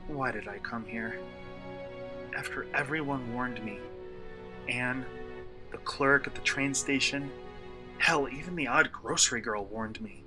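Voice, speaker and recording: A man's voice: medium pitch, a little slow, pauses often, music in the background, natural voice. An actor playing a brash, uneasy young male vlogger in a radio play, talking in a slangy, sarcastic, theatrical way. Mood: uneasy, desperate. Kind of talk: radio play acting, first-person monologue.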